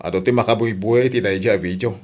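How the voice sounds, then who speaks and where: A man's voice: on the low side, steady tone, quick pace, a little mellow, close to an everyday voice. A man acting a worried, sensible, scolding husband in an Ilocano radio comedy drama. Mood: reproachful. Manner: reproachful.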